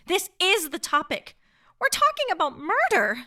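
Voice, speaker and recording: A woman's voice: very high-pitched, wildly swooping pitch, normal speed, sharp tone, exaggerated voice. A woman playing an earnest, principled, argumentative survivor in a radio play. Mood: indignant. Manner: earnest, pointed.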